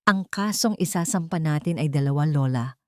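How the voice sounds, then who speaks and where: A woman storyteller voicing an earnest, resolute young lawyer grandson in a firm, measured, respectful way. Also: deep voice, no echo, clean studio sound, lightly acted. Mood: firm, explaining.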